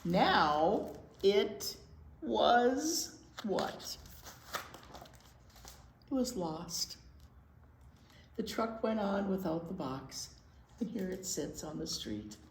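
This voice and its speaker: A woman reading a picture book aloud to young children as a storytime teacher, expressive and emphatic, explaining things simply. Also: mid-range voice, drawn-out words, vocal fry, long pauses. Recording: roomy echo with faint noise.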